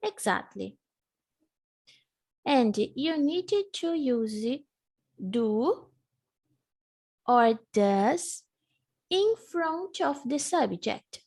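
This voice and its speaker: Brief: English teacher, Portuguese native, measured, patient repetition, unhurried delivery. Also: female voice, sits high, expressive, a little mellow. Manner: patient, slow drilling, repeats words for the student. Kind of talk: live online English lesson.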